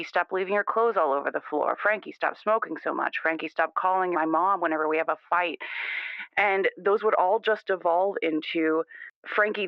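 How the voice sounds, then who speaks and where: A man's voice: a little high, gravelly, no echo. A man playing a defensive, conformist young caller, insistent and rambling, in an improvised radio call-in drama. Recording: band-limited, muffled phone-in radio sound.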